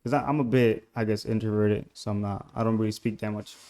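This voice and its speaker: Puerto rican guy, young, soft-spoken, kinda hesitant, trails off a lot, spanish is his first language. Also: lowish voice, steady tone, fast talker. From Puerto Rico. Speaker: musician guest. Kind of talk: casual interview over a call.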